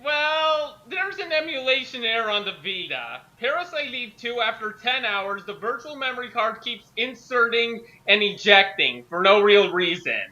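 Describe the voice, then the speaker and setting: A man's voice: extremely high pitch, expressive, normal speed, hardly any pauses, bright, forceful tone, dull audio. A young man on an online group call, chatting casually and reading his own writing aloud. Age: young adult. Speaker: fanfic author joining the reading.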